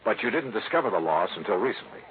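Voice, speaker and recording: An actor playing a wry, breezy insurance investigator with a punchy, wisecracking delivery in the style of 1950s radio detective drama. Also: male voice, fairly low pitch, sing-song, fast talker, a little hiss or hum. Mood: businesslike, curious. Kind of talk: 1950s radio detective acting with first-person narration. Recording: narrow old radio broadcast with music.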